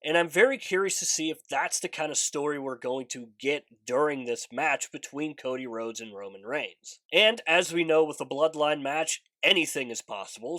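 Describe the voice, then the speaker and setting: A man's voice: a little high, sharp tone, no echo. A man with a congested nose, hosting a casual video preview of upcoming matches, earnest and chatty, leaning on stressed words. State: congested, recovering from a fever. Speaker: wrestling preview channel host. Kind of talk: casual vlog commentary, breaking down upcoming matches.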